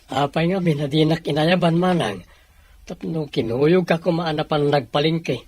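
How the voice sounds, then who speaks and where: A man's voice: on the high side, normal speed, darkish tone, no echo, natural voice. A man playing a humble, helpful younger brother in an audio drama. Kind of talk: audio drama dialogue in Ilocano.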